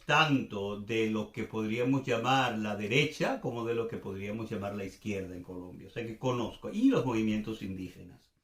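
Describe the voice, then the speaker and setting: An older man from Venezuela with a Venezuelan accent, giving informal commentary off the cuff, searching for words and repeating phrases for emphasis. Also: lowish voice. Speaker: commentator and host. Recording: echoey, reverberant room.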